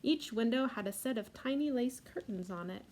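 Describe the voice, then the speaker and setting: A woman with a fairly high voice reads a children's picture book aloud as a storytime reader. She slips in gentle asides about the pictures. There is faint noise behind her. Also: animated, normal speed.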